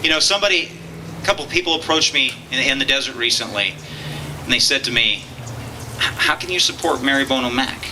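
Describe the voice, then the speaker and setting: A man's voice: medium pitch, animated, fairly quick. A man emceeing a political rally, earnest and fond of rhetorical questions, introducing the speakers. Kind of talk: public speech at a political rally. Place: outdoor campaign rally. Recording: outdoor rally with clear background noise.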